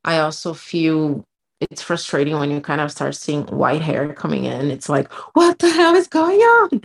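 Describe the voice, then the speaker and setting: A woman's voice: low voice, creaky. A woman in her thirties co-hosting a casual podcast, telling stories with lots of "like" and "you know". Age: 30s. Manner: storytelling, animated, frequent "like" and "you know". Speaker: podcast co-host.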